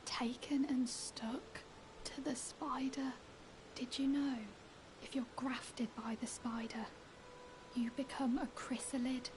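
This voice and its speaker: An actress playing a timid, mournful young woman in a video game, with a halting, wistful, hushed delivery. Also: high voice, flat delivery, noisy background. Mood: mournful, haunted. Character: timid, mournful young woman, self-confessed craven, darkly whimsical. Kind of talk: video game character dialogue.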